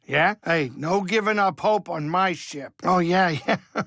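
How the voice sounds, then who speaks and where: A small-time alien starship pilot from a video game, high-voiced and bright. He grumbles and wisecracks in a folksy way, good-hearted underneath, with very lively swings of pitch in a dry, close recording. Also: a little slow, creaky, no room sound, heavily acted. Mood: chatty. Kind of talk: animated video game character dialogue.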